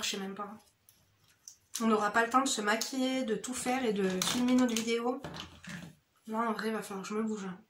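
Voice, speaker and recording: A woman's voice: a little high, a bit bright, a little hiss or hum. A woman beauty vlogger chatting casually through her makeup routine, slangy and familiar, speaking straight to her viewers. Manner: familiar, slangy, addresses viewers directly with frequent "hein" tags. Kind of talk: casual chatty get-ready-with-me makeup chat. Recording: roomy, echoey indoor sound.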